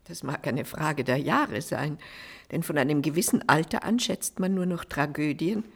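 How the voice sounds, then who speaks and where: A dry, worldly society lady in a radio drama. Her voice is notably low and husky. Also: moderate pace, exaggerated voice. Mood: wry. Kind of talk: German radio play acting.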